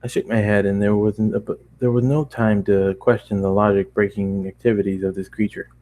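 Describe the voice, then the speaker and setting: A man with a fairly low, dark and relaxed voice reads a fan fiction story aloud. His delivery is informal, with stumbles and self-corrections as he goes. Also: fairly quick. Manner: informal, stumbling over the text and correcting himself. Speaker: amateur narrator.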